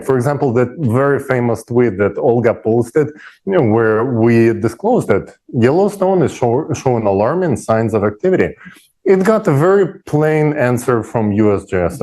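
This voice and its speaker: A man with a soft-edged, mellow voice talks as a panelist on a livestream. His delivery is informal and emphatic, and he often doubles back on words in a conversational way. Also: mid-range voice. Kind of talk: casual enthusiastic discussion.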